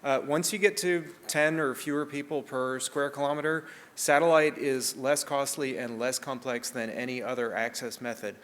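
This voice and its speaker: A man with a New Zealand accent giving a brisk technical conference talk, with frequent "uh" fillers. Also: medium pitch, steady tone, a bit bright. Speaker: conference speaker on satellite access. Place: large conference hall. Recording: reverberant hall, faint ambient noise.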